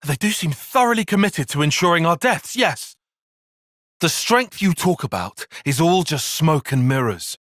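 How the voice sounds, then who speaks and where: An actor playing an earnest, cerebral young soldier and tactician in a video game, with a British accent, articulate formal phrasing and a slightly husky voice. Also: male voice, a little high, very animated, moderate pace, fairly bright tone, no room sound, studio quality. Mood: indignant, questioning. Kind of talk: scripted video game cutscene acting.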